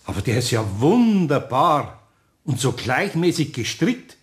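A man's voice: medium pitch, sing-song, a little slow. An actor playing a kindly, indulgent older craftsman with a Bavarian accent in a children's radio play. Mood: surprised, flustered. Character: kindly, good-natured older male craftsman.

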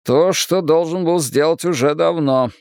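A man's voice: fairly high pitch, unhurried, a little mellow, no room sound, studio quality, pitched above the performer's own voice. A man voicing a stern, wounded and unyielding father in a dramatised audiobook, speaking firmly and bluntly in exasperation. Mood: angry. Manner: firm, blunt, commanding.

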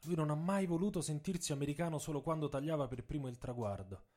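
A man's voice: a little high, fast talker, darkish tone. Man narrating a dramatic scripted story. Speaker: narrator and author reading own text.

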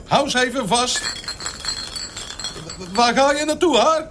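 A very shrill, scoffing male passenger. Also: takes it slowly, background noise. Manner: colloquial, scoffing, exclamatory. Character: shrill, excitable, mocking male airline passenger, coarse chum. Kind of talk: radio play acting.

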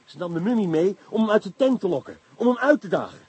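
Irritable detective inspector, radio drama, highish voice that swings a lot, brusque and sarcastic. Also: male voice, lively intonation, fast talker, a little mellow, slightly rough, highs cut off, heavily acted. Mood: explaining, determined. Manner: brusque, sardonic, commanding. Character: driven, irritable, sarcastic male police inspector. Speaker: actor.

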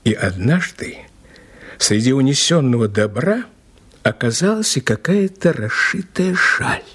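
An actor in an audio play as a respectful police inspector, recounting a case in an emphatic, dramatic way with a slightly husky voice. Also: male voice, medium pitch, a little slow. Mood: gravely narrating. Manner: animated, respectful, emphatic storyteller.

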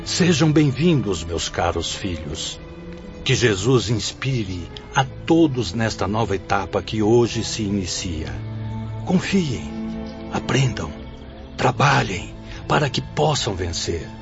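A man's voice: fairly low pitch, sing-song, slow, full character voice. An actor playing a kindly, solemn director of a spirit-world school in a Brazilian radio drama, speaking in a formal, fatherly, exhorting way. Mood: fatherly welcome. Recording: dull audio under clear music.

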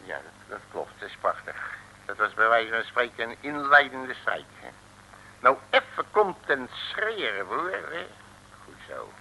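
A jovial, bluffing male detective from an old comic radio serial. His voice is very bright and a little gravelly, with lively, chummy boasting, on a hissy vintage recording. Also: mid-range voice, unhurried, exaggerated voice, rough recording. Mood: cocky, coaxing. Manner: chummy, boastful, colloquial patter. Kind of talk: 1950s-60s comic radio serial acting.

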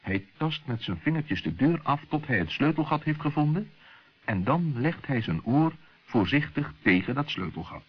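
Husky, expressive male storyteller. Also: a little high, normal speed. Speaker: narrator. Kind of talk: expressive children's story narration. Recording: muffled old radio play recording.

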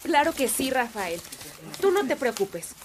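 A woman's voice: high-pitched, very animated, unhurried, slight background noise. A woman playing a supportive, reassuring helper in a radio drama.

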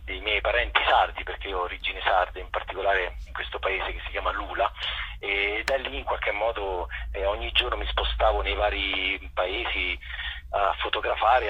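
Italian guy, very raspy and sharp voice, director talking haltingly over a phone line, reflective. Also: on the low side. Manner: halting, searching for words. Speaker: playwright and director, interview guest. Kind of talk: phone interview, reflective storytelling. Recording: phone line, harsh and band-limited.

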